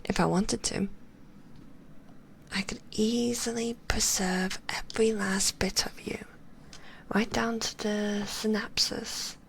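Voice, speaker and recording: A woman voicing a possessive, sweetly manipulative female magician, whispering in a soft, cooing and softly condescending way. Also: mid-range voice, little pitch movement, takes it slowly, gravelly, slight background noise, low-quality recording. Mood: doting. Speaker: ASMR roleplay performer. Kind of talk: whispered ASMR roleplay.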